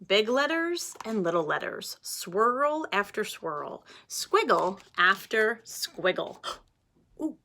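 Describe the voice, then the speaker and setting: A woman's voice: mid-range voice, slow pace. A woman teacher reading a picture book aloud to children, stopping to ask them questions. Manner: animated, addresses children directly. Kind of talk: read-aloud storytime for children.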